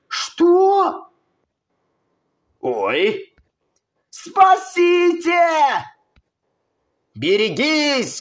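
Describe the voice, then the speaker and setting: A man voices an amateur cartoon in a high-pitched voice. His delivery is very slow and theatrical, with exclamations and big swings in pitch. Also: fairly bright tone.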